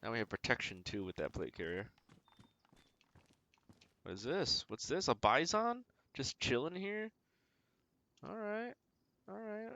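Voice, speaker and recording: A man's voice: a little high, animated, measured pace, vocal fry, long pauses, sharp tone. A man giving casual video game commentary as a streamer, thinking aloud in short, slangy remarks.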